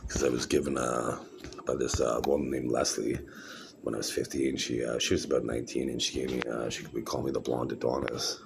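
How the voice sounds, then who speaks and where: A man's voice: extremely low pitch, little pitch movement, brisk, creaky, noisy background. A middle-aged man rambling to the camera in a casual vlog, meandering with many fillers and restarts. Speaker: vlogger showing his own videos.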